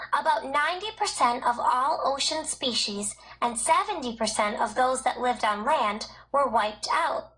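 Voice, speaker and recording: A woman with a high voice narrates educational text. Her delivery is scripted and measured, with every word carefully enunciated. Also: a bit bright, rough recording. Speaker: textbook narrator.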